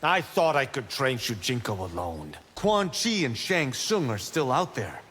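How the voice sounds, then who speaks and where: A man's voice: mid-range voice, big pitch swings, measured pace, a bit bright, in a large hall, slight background noise. A man playing a proud, eager young martial arts monk who boasts with self-assurance in video game banter. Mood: earnest, proud.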